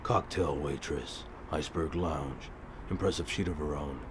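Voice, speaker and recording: An actor playing a brooding, stoic vigilante hero in a comic-book audio drama, speaking in a grave, measured, deadpan way. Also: male voice, very deep voice, noisy background. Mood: terse, deadpan. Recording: music behind the voice.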